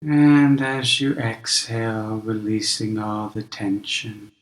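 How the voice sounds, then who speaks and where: Man, meditation guide, very slow delivery, gentle, measured, long pauses, echoey room sound. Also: on the low side, little pitch movement. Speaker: meditation teacher.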